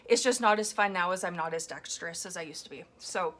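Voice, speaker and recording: A woman with a slightly hoarse, raw voice chatting casually about her collage process at home, rambling with frequent ums. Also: on the low side, fast, fairly bright tone. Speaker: collage artist. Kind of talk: casual commentary while making a collage. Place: home, indoors at a table. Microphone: overhead camera on a floor mount.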